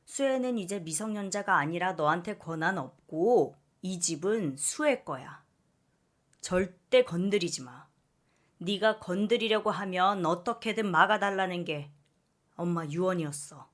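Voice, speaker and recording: A woman's voice: lowish voice, lively intonation, a little slow. A woman voicing a firm, protective middle-aged aunt in a story, scolding with emphatic warnings. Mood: stern warning.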